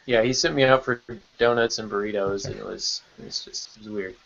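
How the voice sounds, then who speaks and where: A man's voice: lowish voice, fairly even intonation, fairly quick, gravelly, a loud crowd. A man hosting a podcast in a casual, chatty way, steering the conversation. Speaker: podcast host. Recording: dull lo-fi audio.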